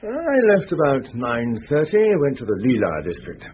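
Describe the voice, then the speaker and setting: An actor playing a defensive, flashy sales representative in a radio drama, speaking with clipped, indignant politeness. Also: male voice, medium pitch, wildly swooping pitch, normal speed. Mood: guarded politeness. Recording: muffled radio recording, faint noise.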